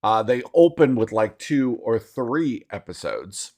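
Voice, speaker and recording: Give me a middle-aged man chatting on a podcast, very expressive in pitch and quick to laugh. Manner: animated, laughs often, talks through restarts. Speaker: podcast co-host. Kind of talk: casual chat.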